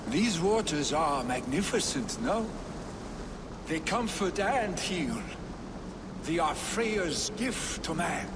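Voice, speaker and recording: An actor playing a brash, carefree young Viking warrior in a video game cutscene, boastful and defiant. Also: male voice, a little high, animated, takes it slowly. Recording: noisy game ambience behind dialogue.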